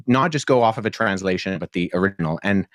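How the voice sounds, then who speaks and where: A young man with a lowish voice explains his reasoning earnestly and articulately. He speaks at a measured pace and uses frequent ums and uhs. The sound is dry and close, with no room echo. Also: lively intonation, fairly bright tone. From born in Pakistan, childhood in Jordan. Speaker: debate guest, historian. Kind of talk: podcast debate answer.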